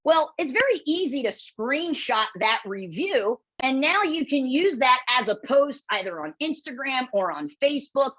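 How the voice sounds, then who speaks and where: A woman with a loud voice presenting a webinar, blunt and direct, often checking in with the audience as she coaches. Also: sits high, very animated, normal speed, hardly any pauses. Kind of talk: instructional webinar talk. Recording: dull lo-fi webinar audio.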